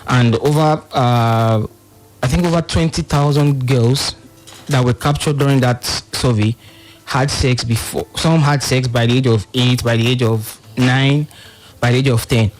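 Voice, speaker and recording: A man's voice: mid-range voice, steady tone, unhurried, faint music behind, rough recording. A man with a Nigerian accent giving explanations as a radio guest, hesitant and often repeating phrases. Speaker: panel guest, monitoring and evaluation officer. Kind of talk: explanatory radio interview talk.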